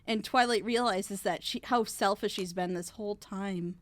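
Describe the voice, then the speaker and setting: A woman's voice: medium pitch, moderate pace, no echo. A woman talking casually and critically in a panel discussion, with an emphatic, exasperated manner. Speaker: reaction show panelist.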